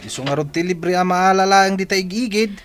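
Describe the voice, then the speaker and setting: A man's voice: high voice, a little fast, a bit bright, soft background music. A man playing a lazy, domineering young husband who is demanding and dismissive, in an Ilocano audio drama.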